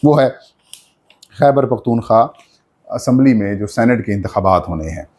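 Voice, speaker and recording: A man with a Pakistani accent gives unscripted political news commentary, explaining details point by point with frequent pauses. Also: medium pitch, expressive, darkish tone.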